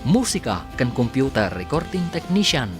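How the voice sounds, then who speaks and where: A man with an Ilocano accent announcing radio drama credits in a scripted, projected style with drawn-out endings. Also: medium pitch, big pitch swings, music in the background.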